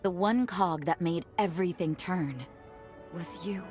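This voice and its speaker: Game city leader, played by a woman: slightly low register, warm, assured, teasing, clear musical backing. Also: a little slow, close to an everyday voice. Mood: tender, grateful. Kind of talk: video game cutscene acting. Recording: music behind the voice.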